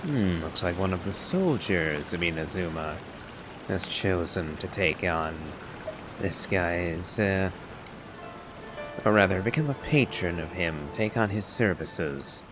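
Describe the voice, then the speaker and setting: A man giving casual game commentary as a streamer, in a musing, wry and measured way. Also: deep voice, expressive, music in the background. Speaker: gaming streamer.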